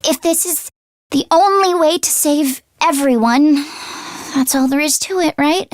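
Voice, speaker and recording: A woman voicing an anxious, earnest teenage girl mechanic in a video game, with an expressive, hesitant delivery. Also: high voice, measured pace, no echo, clean studio sound. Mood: scared, nervous. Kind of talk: English video game voice acting, isolated voice lines.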